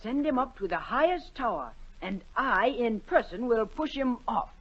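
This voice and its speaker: A man's voice: extremely high pitch, animated, moderate pace. A male actor playing a haughty, spiteful young grand duke who gloats, in 1940s radio drama style. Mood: haughty, scheming. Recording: dull 1940 radio recording.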